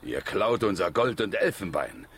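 A man's voice: deep voice, very animated, normal speed, gravelly, a bit bright, husky, heavily acted. A man playing an angry, distrustful king in a children's radio play, commanding and indignant. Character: angry, imperious African king, distrustful of strangers.

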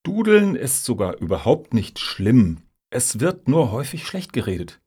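An actor playing an earnest, self-justifying middle-aged music lobbyist in a radio satire, speaking in formal jargon. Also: male voice, medium pitch, huge pitch swings, moderate pace, darkish tone, husky. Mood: earnest, defensive. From Hamburg, Germany. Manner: formal, jargon-heavy, pompous.

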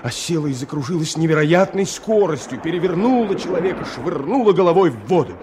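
A man's voice: on the high side, lively intonation, fairly quick, background noise, natural voice. A man acting as the storyteller of a radio drama, delivering scripted narration with dramatic feeling. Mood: urgent. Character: dramatic male storyteller of river adventure.

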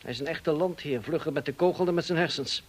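An actor in a radio play playing a smug, insinuating blackmailer with a mocking, drawling, falsely polite manner. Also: male voice, a little high, fast, breathy edge. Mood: accusing. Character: smug, insinuating male blackmailer, cousin of the dead woman.

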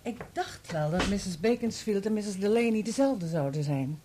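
An actress playing a polite, determined wife in a Dutch radio play, husky and purposeful. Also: female voice, mid-range voice, lively intonation, normal speed, noisy background, natural voice. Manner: courteous, purposeful. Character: polite, determined wife seeking help for husband.

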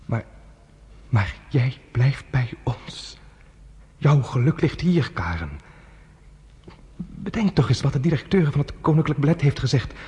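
A gentle fatherly judge. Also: mid-range voice, very animated, measured pace, pauses often, breathy edge, big-room sound, exaggerated voice. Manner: soft, fatherly and reassuring. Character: kind older male Danish judge, gentle foster father. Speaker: radio drama actor. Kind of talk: radio play acting.

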